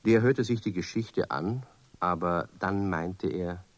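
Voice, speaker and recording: A man's voice: low-pitched, slow pace, dry recording. A man narrating a children's radio play as a scripted storyteller.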